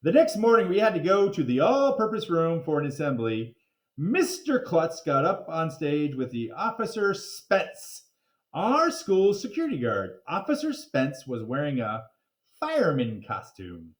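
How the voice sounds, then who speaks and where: A man with a high voice reads a children's story aloud. His delivery swings widely in pitch, playful and dramatic, and he drops in chatty asides like someone reading to a class of kids. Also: huge pitch swings, unhurried, roomy sound.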